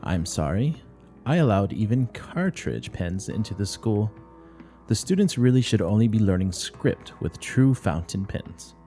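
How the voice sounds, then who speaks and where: A man's voice: on the low side, very animated, unhurried, warm tone, faint music behind. A man voicing a strict older nun who runs a school, speaking curtly and moralizing in a play reading. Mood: severe, lecturing. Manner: curt, severe, moralizing.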